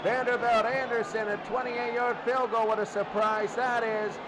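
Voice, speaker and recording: A man's voice: very high-pitched, level tone, normal speed. A man doing informal football play-by-play, thinking aloud as he calls the game. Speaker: play-by-play announcer. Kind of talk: American football radio-style commentary. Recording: loud crowd and noise behind. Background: stadium crowd roar.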